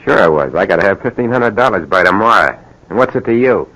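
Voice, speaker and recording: A gravelly, reluctant radio-drama locksmith. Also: male voice, on the low side, expressive, quick pace, a bit bright, poor audio. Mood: defensive. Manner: terse, uneasy, plain working-man talk. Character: desperate, reluctant male locksmith drawn into burglary. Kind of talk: old-time radio drama acting.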